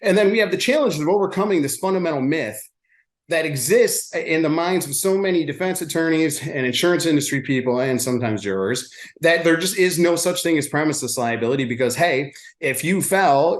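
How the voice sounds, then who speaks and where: A man's voice: on the high side, expressive, brisk. An American man speaking as an attorney giving a seminar, emphatic and repeating his key phrases to make a point. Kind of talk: seminar presentation with acted-out phone-call examples. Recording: echoey room sound.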